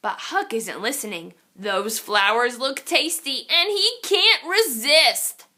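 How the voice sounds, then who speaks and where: A woman's voice: a little high, animated, slow, bright, forceful tone. A woman reading a children's picture book aloud in a theatrical, suspenseful way, drawing out her exclamations. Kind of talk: animated children's story read-aloud.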